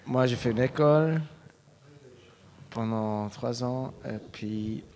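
A man, a musician answering interview questions in a halting way. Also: medium pitch, a little mellow. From Switzerland. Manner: halting, with many fillers and restarts.

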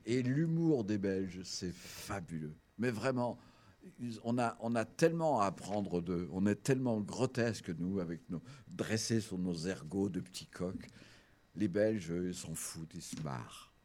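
Man, radio host, low voice, lively pitch, unhurried, expressive, studio mic. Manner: expressive, lingering over words. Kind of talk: casual chat, then scripted radio chronicle.

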